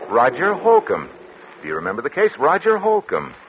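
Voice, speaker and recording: An actor playing a troubled, solemn doctor in a formal, deliberate 1940s radio drama style. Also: male voice, on the high side, wildly swooping pitch. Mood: animated, explaining. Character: troubled, solemn male doctor and psychiatrist narrating his confession. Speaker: actor, narrator and lead. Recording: dull, noisy 1940s radio recording.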